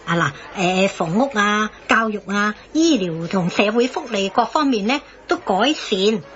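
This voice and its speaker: A woman's voice: medium pitch, lively intonation, measured pace, natural voice. Actress doing theatrical hong kong cantonese lady arguing in radio satire, really swoopy voice, slightly dull broadcast sound. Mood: reflective. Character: opinionated woman arguing in political radio satire. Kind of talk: satirical radio drama acting.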